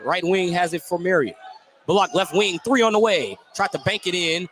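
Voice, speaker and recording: A man's voice: high-pitched, moderate pace, fairly bright tone. A man doing live basketball play-by-play on the radio, calling the action in short, clipped phrases. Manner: clipped running call of the action. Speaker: play-by-play commentator. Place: indoor gym during a game. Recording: noisy live sports venue broadcast.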